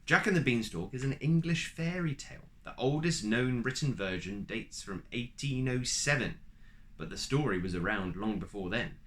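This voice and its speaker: A man reading a children's storybook aloud, animated, with asides to the listener. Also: mid-range voice, moderate pace.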